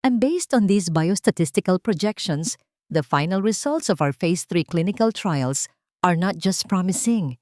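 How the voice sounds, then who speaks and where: Babaeng gumaganap bilang matatag at kumpiyansang babaeng ehekutibo. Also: female voice, on the low side, lively intonation, a little slow, darkish tone, no room sound, clean studio sound. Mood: confident, formal. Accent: Filipino. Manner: firm, clear, formal delivery. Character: confident, composed young female executive, formerly a wronged wife.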